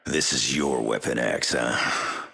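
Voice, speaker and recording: An actor playing a gruff, blunt male mutant brawler with a gravelly, growling voice and a terse, world-weary manner. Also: very deep voice, takes it slowly, sharp tone, dry recording, studio quality, exaggerated voice.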